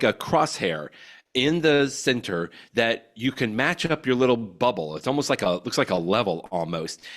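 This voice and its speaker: A man's voice: mid-range voice, a bit bright. An American man co-hosting a tech podcast, explaining tips in a casual, enthusiastic way. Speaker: podcast co-host. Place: hotel room.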